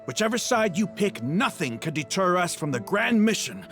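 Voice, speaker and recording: An actor playing a zealous desert mercenary leader in a video game, gravelly, grandiose and mocking, declaiming like a villain. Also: male voice, sits high, very animated, moderate pace, a bit bright, faint music behind, full character voice. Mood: fervent.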